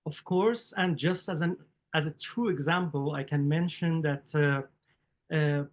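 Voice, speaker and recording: Halting Persian-accented man, higher voice. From Iran. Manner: halting, many fillers and restarts. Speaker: documentary filmmaker, guest. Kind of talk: interview answers.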